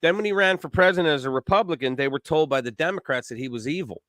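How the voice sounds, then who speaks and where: A man's voice: a little high, quick pace, a bit bright, no room sound. A man hosting a casual political call-in show, who rambles and repeats words as he builds his points.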